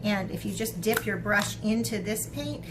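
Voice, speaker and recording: A woman art teacher talking to young children in an expressive, encouraging way, as in a lesson or picture book read-aloud. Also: medium pitch, moderate pace, background noise. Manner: expressive, encouraging talk aimed at young children, with dramatic gasps.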